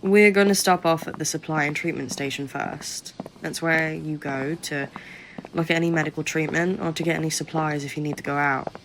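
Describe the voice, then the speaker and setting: A woman's voice: sits low, fairly even intonation, a little fast, background noise. A woman playing a curt, condescending government researcher who briefs a recruit with blunt, sardonic impatience in an audio roleplay. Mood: matter-of-fact briefing. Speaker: researcher guiding a new recruit. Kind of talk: audio roleplay acting, addressed to listener.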